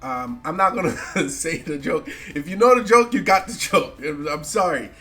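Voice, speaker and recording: A man's voice: fairly high pitch, expressive, moderate pace, sharp tone, soft background music. A young man with a congested, nasal voice from a cold, chatting loosely and slangily about card game decks as a channel host, often tagging "right?" onto his sentences. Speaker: gaming YouTuber.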